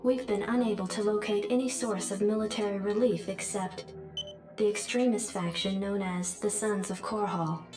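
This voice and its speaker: A woman's voice: mid-range voice, fairly even intonation, normal speed, lightly acted. A woman voicing a formal, detached military computer adjutant, giving clipped announcements in a game briefing. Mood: impassive, official. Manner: clipped, impassive. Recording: echoing, distant, music behind.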